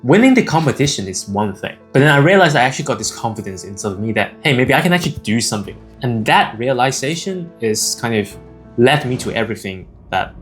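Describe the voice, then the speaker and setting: A man's voice: mid-range voice, very animated, fast talker. Young man from Hong Kong, guitar behind. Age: 20s. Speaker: video creator and AI agency founder. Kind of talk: personal storytelling to camera. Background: acoustic guitar music.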